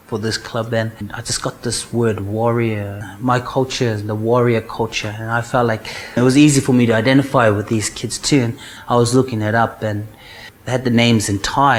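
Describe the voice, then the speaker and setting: Earnest New man, halting fillers. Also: medium pitch, fairly even intonation. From New Zealand. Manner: earnest, unhurried, frequent "uh" fillers. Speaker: rugby charity co-founder. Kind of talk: interview and documentary testimony.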